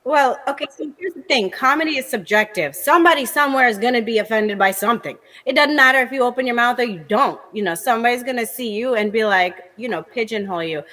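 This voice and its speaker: An American woman comedian, a guest on a talk show, chatting in a lively, candid way with quick asides. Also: on the high side, big-room sound. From United States. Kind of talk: casual interview chat.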